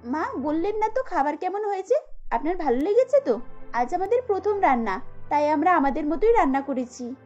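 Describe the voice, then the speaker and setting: A woman's voice: high voice, very animated, a little fast, darkish tone. A woman voicing a polite, earnest young village daughter-in-law in a cartoon story. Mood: caring, polite. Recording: dull lo-fi audio with music bed.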